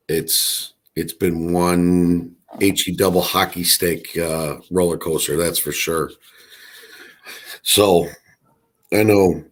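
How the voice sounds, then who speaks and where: Man, very deep voice, unhurried, raspy and hoarse, rambling casual chat. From Chicago area. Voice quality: raspy from allergies. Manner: rambling, many fillers and restarts. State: hoarse, congested from allergies. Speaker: co-host.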